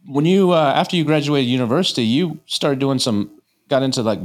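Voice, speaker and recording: A man's voice: mid-range voice, a little fast. A man hosting a podcast, asking questions in a casual, rambling way full of filler words. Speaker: podcast host. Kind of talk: casual interview chat.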